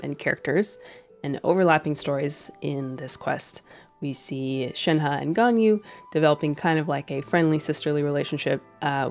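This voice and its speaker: Young woman, low voice, stuffy from a head cold, casual earnest streamer commentary, faint music underneath. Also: a little mellow. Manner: earnest, rambling, many restarts and fillers. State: head cold, a bit nasal. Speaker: streamer and video creator. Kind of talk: casual reflective commentary to camera. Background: faint background music.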